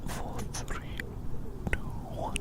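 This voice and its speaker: A woman whispering breathily in an ASMR roleplay as a fussy, curious examiner, softly repeating her words and phrases in a reassuring way. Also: drawn-out words. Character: fussy, curious examiner measuring a little creature.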